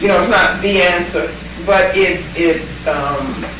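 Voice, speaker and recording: A woman's voice: fairly low pitch, a little slow. Thoughtful woman lecturer in a very echoey hall. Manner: thoughtful, emphatic, stops and restarts to reframe. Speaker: lecturer answering questions. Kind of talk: Q&A answer. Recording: very echoey hall, distant mic, noisy.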